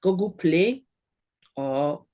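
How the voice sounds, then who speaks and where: A woman's voice: fairly low pitch, lively intonation, extremely slow, mellow. Nigerian woman, earnest encouraging advice. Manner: earnest, encouraging, gives advice in short phrases. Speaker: guest, German language expert. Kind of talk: podcast interview.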